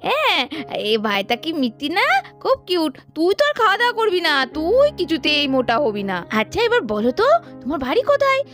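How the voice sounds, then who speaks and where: High, swooping village mother played by a woman. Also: huge pitch swings, fairly quick, a bit bright, faint music behind, full character voice. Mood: coaxing. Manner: chatty, scolding, coaxing. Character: busy village mother doll, grumbling but affectionate. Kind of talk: children's doll cartoon.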